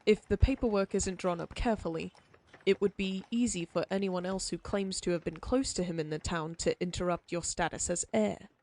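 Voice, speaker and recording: A woman's voice: lowish voice, a little fast, darkish tone, lightly acted. A woman voicing a prim, sympathetic frontier lawyer in an audio roleplay, speaking formally with old-fashioned phrasing. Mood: calm, polite.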